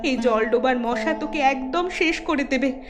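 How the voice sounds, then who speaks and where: A woman's voice: high voice, unhurried. A woman voicing a brave, devoted elder sister in a cartoon, earnest and determined. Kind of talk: cartoon voice acting.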